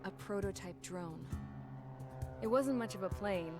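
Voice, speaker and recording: A woman's voice: medium pitch, a little slow, lightly acted. An actress playing a plain-spoken, wistful young woman pilot and mechanic, narrating her own story in a casual, wry way for a game cutscene, with music behind her. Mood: wry, reminiscing. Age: young adult. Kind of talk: scripted first-person cutscene narration.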